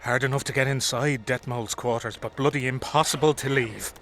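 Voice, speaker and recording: Casting: man for combative drinking soldier, fantasy game, sharp forceful voice, coarse common speech. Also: mid-range voice, steady tone, fairly quick, soft background music, close to an everyday voice. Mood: ominous. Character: blunt, boozy, grumbling common soldier in a fantasy army. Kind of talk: video game dialogue acting.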